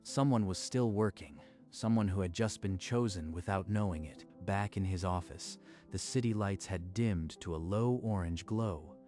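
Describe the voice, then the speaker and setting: A man narrating a scripted story in a measured, even manner. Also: deep voice, soft background music.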